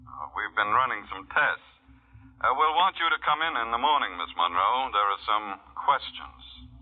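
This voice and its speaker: An actor playing a methodical male police lieutenant heard over a telephone in an old radio drama, measured and dotted with fillers. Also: lowish voice, sing-song, phone-quality sound. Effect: telephone filter. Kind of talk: 1940s radio acting.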